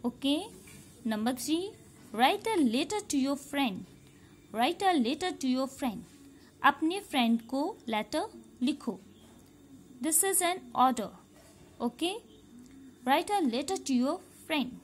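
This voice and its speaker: A woman's voice: high voice, animated, slight background noise. A woman teacher with an Indian English accent, explaining a grammar lesson to young pupils and repeating each sentence patiently. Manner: slow, repetitive, patient explaining, checking understanding. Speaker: schoolteacher. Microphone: phone camera held over a workbook.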